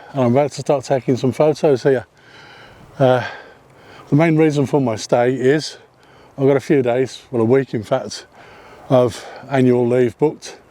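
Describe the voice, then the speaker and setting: Mellow-voiced man vlogging outdoors. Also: medium pitch. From not Welsh. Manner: chatty, with frequent ums and self-corrections. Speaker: photography vlogger. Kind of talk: casual vlog narration while walking. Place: outdoors on a hillside path above a seaside town.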